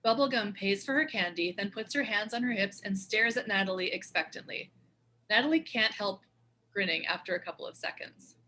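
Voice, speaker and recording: A woman's voice: mid-range voice, a little fast, pushed and bright, slight background noise. A woman reading screenplay stage directions as scripted narration.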